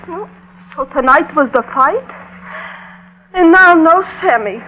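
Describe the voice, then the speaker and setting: High-voiced, fretting New immigrant mother. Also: expressive, slow. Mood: plaintive. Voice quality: high-pitched voice. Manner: inverted, foreign-flavoured syntax, plaintive. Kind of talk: old-time radio drama acting. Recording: dull, noisy vintage radio recording.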